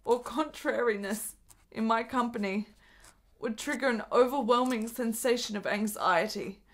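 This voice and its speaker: Actress as a frail desperate young woman, high voice, formal literary phrasing, fraught, period radio play. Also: moderate pace, lightly acted. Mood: wistful, confessional. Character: sickly, desperate, intense young 19th-century Italian woman. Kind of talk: period radio drama acting.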